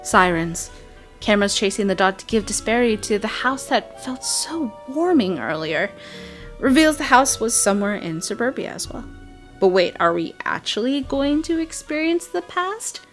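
A woman giving casual, expressive voice-over commentary on a video game, chatty and full of wry asides. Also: on the high side, moderate pace. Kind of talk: casual trailer breakdown commentary. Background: music.

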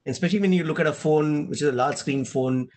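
A middle-aged man moderating a panel on a video call, animated and often adding "right?". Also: on the high side, fast, slight background noise. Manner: animated, gesturing, tag question "right?". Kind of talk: casual panel discussion. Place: room at home with blinds and shelves. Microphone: video call webcam.

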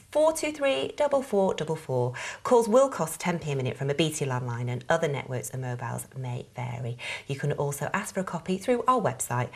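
A woman in her forties hosting a travel TV show, chatty and bright as she interviews a guest. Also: sits low, husky. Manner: chatty, bright presenter delivery. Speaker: TV show host. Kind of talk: presenting and interviewing. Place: small TV studio set.

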